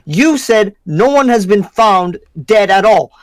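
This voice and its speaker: A performer playing a stern, suspicious demon slayer in an improvised anime roleplay, making pointed accusations with dramatic pauses. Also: mid-range voice, animated, no room sound, close to an everyday voice. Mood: stern, accusing.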